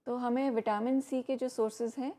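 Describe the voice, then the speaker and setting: A woman giving health advice on an educational program, explaining steadily with frequent pauses and fillers. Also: on the high side, fairly even intonation, mellow. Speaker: health expert guest. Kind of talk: educational talk on live program.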